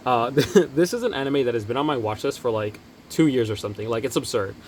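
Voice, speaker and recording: A man's voice: medium pitch, a little fast. Young guy rambling about his manga haul, lots of ums and likes, faint hiss behind. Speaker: manga collecting vlogger. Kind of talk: casual talk to camera.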